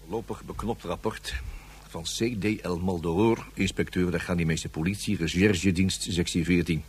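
An actor playing a weary, officious police inspector in a radio drama, dictating a report in a dry, bureaucratic way with a husky voice. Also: male voice, deep voice, steady tone, moderate pace.

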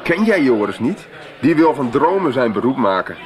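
An actor playing a chatty, sardonic teenage schoolboy who jokes casually in a radio soap. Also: male voice, mid-range voice, big pitch swings, normal speed, close to an everyday voice. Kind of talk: naturalistic radio soap acting. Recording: noisy background in school scene.

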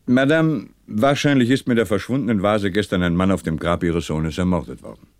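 An actor playing a composed, wry middle-aged police commissioner in a 1960s German radio crime play, polite and probing. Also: male voice, on the low side, fairly quick, no echo, studio quality, close to an everyday voice. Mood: courteous, probing.

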